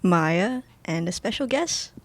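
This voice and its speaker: A woman's voice: on the low side, expressive, slow pace, heavy background noise. A young woman co-hosting a podcast, casually asking questions and chatting with a guest. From born in the Philippines. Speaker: podcast co-host, interviewer. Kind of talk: casual question and answer chat.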